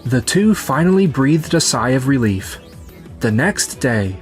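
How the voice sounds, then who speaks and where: A man's voice: medium pitch, sing-song, a little slow, faint music behind. A man voicing a kind, sincere young businessman in a romantic comedy manga dub. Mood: narrating.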